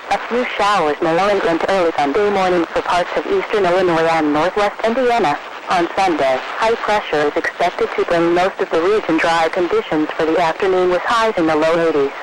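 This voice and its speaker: Clear static runs through this radio reception. An American man with a fairly high voice reads a weather summary from a script. He sounds formal and impersonal.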